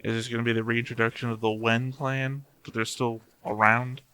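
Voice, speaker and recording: A man's voice: mid-range voice, little pitch movement, normal speed, bright, forceful tone, smooth. A man giving casual reaction commentary as a host, thinking aloud bluntly and swearing freely. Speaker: host of an anime reaction show.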